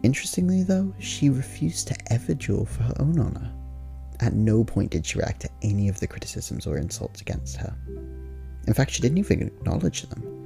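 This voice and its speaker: A man's voice: medium pitch, big pitch swings, brisk, creaky, dark tone, faint music behind. A man playing a friendly, rambling diarist in an ASMR roleplay, talking casually with wry, hesitant asides. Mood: musing, amused.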